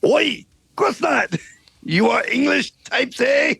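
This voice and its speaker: Man puts on a jeering French castle guard, fake thick accent, gravelly rasp, shouted taunts. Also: extremely high pitch, lively intonation, no echo, exaggerated voice. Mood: taunting. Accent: put-on exaggerated French. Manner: shouted insults, sneering drawn-out taunts. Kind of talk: amateur comedy script read-through.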